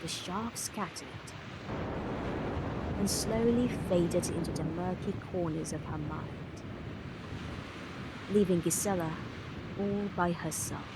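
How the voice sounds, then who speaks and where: A woman's voice: lowish voice, slow pace, long pauses, very noisy. A woman reading dramatic scripted narration for a visual novel as its storyteller. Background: music clearly behind the voice.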